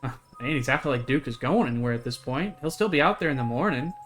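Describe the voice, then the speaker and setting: A friendly older small-town sheriff from a horror game, acted out by a man. He talks in folksy, unhurried phrases with a bright, forward tone, over music. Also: medium pitch, animated, lightly acted. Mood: dismissive.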